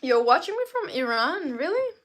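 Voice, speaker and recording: A woman's voice: high-pitched, sing-song, moderate pace. A woman livestreaming in casual chat, whose first language is not English, talking straight to her viewers and repeating herself often. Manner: talks straight to the chat, repeats phrases over and over. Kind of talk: casual live-stream chat.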